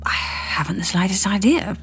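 A woman's voice: mid-range voice, lively intonation, moderate pace, music in the background, lightly acted. An actress with a British accent playing a flustered, preoccupied woman in an audio drama, hesitant and distracted. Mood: puzzled, evasive.

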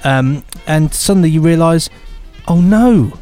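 A man's voice: on the high side, lively intonation, a little slow, mellow, faint music behind. A man co-hosting a podcast in playful, rambling, mock-earnest comic chat. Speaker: podcast co-host.

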